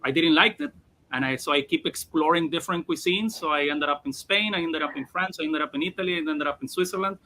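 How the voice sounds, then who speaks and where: A man's voice: on the high side, quick pace, bright, forceful tone. A man, a chef, introducing himself on a live video call in a rambling way, full of restarts. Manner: rambling, with many restarts and fillers. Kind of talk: casual live video chat.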